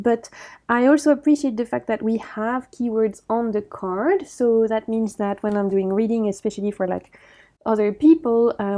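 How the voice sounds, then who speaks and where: A woman chatting enthusiastically about her oracle decks, her voice slightly croaky from a recovering sore throat, laughing often as she talks. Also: medium pitch, warm tone. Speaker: oracle deck vlogger. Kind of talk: casual chatty deck review.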